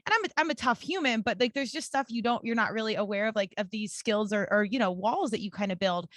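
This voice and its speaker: A woman's voice: medium pitch, fast, a bit bright, no room sound, studio quality. A woman in her early forties hosting a podcast, chatting earnestly and filling her talk with "like". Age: 40s. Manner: chatty, many "like" fillers, earnest. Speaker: podcast host. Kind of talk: casual podcast conversation.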